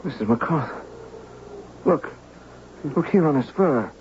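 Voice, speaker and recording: A man's voice: fairly high pitch, lively intonation, measured pace, a little mellow. An actor playing a protective, resolute man in love who tells his story in a firm, dramatic 1970s radio style. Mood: shocked. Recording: muffled, noisy 1970s radio recording.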